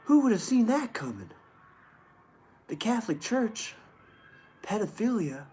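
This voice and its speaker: A man's voice: high voice, sing-song, measured pace, dark tone, a little hiss or hum. A man reading the news aloud and rambling casually about it as a show host, with sarcastic, feigned astonishment.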